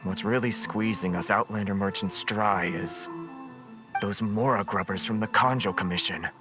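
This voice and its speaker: A man's voice: on the low side, moderate pace, lightly acted. A man voicing a weary, kindly merchant leader in a video game, grumbling yet courteous. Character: weary, beleaguered male merchant association head, kindly, aggrieved. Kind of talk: video game character voice acting. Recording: music behind the voice.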